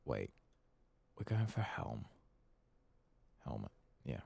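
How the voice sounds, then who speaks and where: Male ASMR game streamer: soft-spoken, slow, heavy vocal fry, hesitant muttering to himself while playing. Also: extremely low pitch, sing-song. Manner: murmuring, musing aloud to himself, hesitant. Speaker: gaming channel host.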